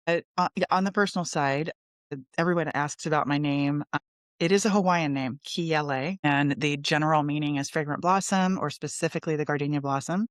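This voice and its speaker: A woman's voice: deep voice, brisk, dry recording. Middle-aged American woman, close dry sound. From Texas, United States. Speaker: podcast guest, lawyer and coach. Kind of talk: conversational interview storytelling.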